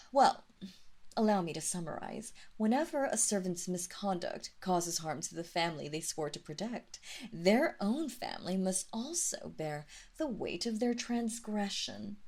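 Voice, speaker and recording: A woman voicing a cruel, seductive vampire mistress in an intimate audio roleplay, teasing and menacing the listener in a breathy, taunting way that drifts into whispers. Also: mid-range voice, expressive, unhurried, darkish tone. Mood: mocking, cold.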